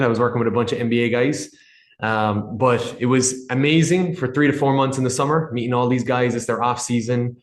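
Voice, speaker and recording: A man in his thirties speaks with a blended Irish and American accent, chatting in a relaxed, talkative way. His voice sits at an ordinary pitch and rings in a noticeably echoey room. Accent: Irish with some American. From Ireland. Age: 30s. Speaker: podcast guest, sports nutritionist. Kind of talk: casual podcast conversation.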